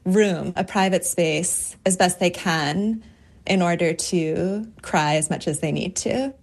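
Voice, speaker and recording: A woman's voice: on the low side, takes it slowly, gravelly. A woman poet and author speaking as a guest in a radio interview, thoughtful and articulate, laughing easily.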